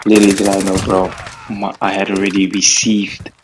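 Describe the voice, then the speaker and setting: A man's voice: low voice, completely flat delivery, normal speed, background noise. A young man casually telling a story, rambling and filling it with "bro" and "like". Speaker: gaming vlogger.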